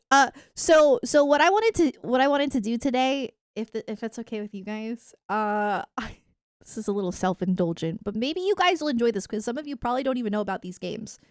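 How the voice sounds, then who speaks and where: A woman chatting casually to viewers on a livestream in a giggly, animated, rambling way. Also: a little high, moderate pace, dry recording, studio quality. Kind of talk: casual livestream chat about anime and games.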